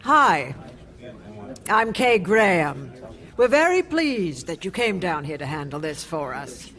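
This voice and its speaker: A middle-aged woman who publishes a newspaper in a radio drama. She is poised and measured, with a polite but pointed, probing way of questioning. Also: on the low side, big pitch swings, a bit bright, full character voice. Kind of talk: radio play acting.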